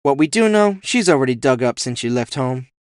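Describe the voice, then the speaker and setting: A man's voice: mid-range voice, expressive, fairly quick, smooth, dry recording, studio quality, natural voice. A man voicing a sassy, teasing woman who talks with easy familiarity, in a cyberpunk audio story. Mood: gossipy. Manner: sassy, familiar, calls people honey. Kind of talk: audio fiction character voice.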